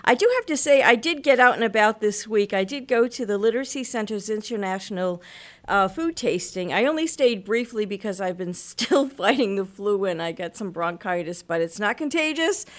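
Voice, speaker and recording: A woman hosting a local radio talk show, a bit hoarse from illness, chatting in a rambling way with many fillers. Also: mid-range voice, animated, normal speed.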